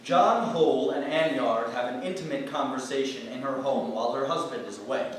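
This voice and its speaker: A man's voice: medium pitch, fairly quick. A man giving theatrical scripted narration as an actor reading a play synopsis. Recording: noisy, very echoey hall from far off.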